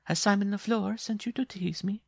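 A man's voice: high-pitched, moderate pace, vocal fry, breathy edge, no echo, clean studio sound, voice pushed up, full character voice. A man voicing a robust, teasing female circus giantess in a story, with a mock-gracious manner. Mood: amused, teasing.

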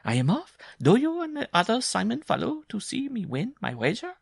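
A man's voice: extremely high pitch, wildly swooping pitch, fairly quick, gravelly, slightly rough, dry recording, clean studio sound, voice pushed up, heavily acted. A man voicing a big, strong, domineering young bride, boisterous and mocking, in a theatrical storybook reading. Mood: boastful, taunting.

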